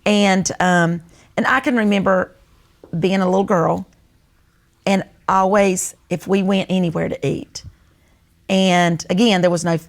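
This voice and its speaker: A woman's voice: mid-range voice, fairly even intonation, a little slow, pauses often. An older woman with a Southern US accent, chatting casually and reminiscing in a folksy storytelling way. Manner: folksy storytelling, acts out remembered family dialogue. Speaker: host.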